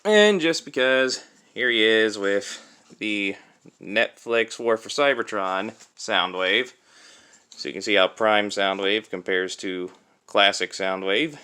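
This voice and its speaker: A young man giving a casual, unscripted toy review in a chatty, halting way, recorded on a cell phone. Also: fairly low pitch, pushed and bright, clear, resonant tone. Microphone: cell phone used as camera.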